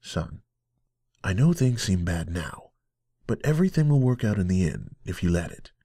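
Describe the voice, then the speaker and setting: A man voicing a kindly, fatherly talking teapot in an audio drama, gentle and reassuring. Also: low voice, sing-song, normal speed, husky, no room sound, clean studio sound, heavily acted.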